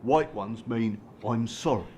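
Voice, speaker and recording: Actor as blunt, rough-spoken mentor, noisy recording. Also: male voice, mid-range voice, a little slow, warm tone, lightly acted. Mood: earnest, blunt. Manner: blunt, colloquial, matey. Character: blunt, streetwise male abuse-group mentor, rough and remorseful. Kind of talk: naturalistic radio drama acting.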